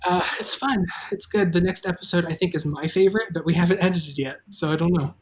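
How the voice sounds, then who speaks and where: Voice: medium pitch, quick pace, a little hiss or hum. An informal, chatty host speaking in a midrange voice on a casual livestream. The delivery rambles loosely, like talk among friends who make an audio drama together.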